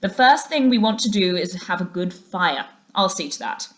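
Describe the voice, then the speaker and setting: Woman putting on a hearty, chipper rat gentleman. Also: on the low side, animated, normal speed. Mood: cheery, bustling. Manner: hearty, bustling, old-fashioned English phrasing. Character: cheery, capable, bossy male water rat, good-hearted friend.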